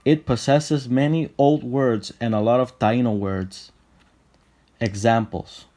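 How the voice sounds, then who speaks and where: A young man from Puerto Rico with a Puerto Rican accent, narrating a scripted explainer in a steady, list-like way. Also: mid-range voice, takes it slowly. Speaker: channel host.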